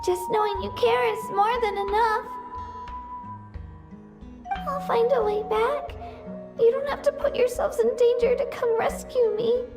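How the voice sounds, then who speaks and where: Video game role: small humble girl, very high voice, slow hesitant delivery, scared but brave, loud music underneath. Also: fairly even intonation. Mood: bravely reassuring. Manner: hesitant, earnest, self-effacing. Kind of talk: English video game voice acting. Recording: music behind the voice.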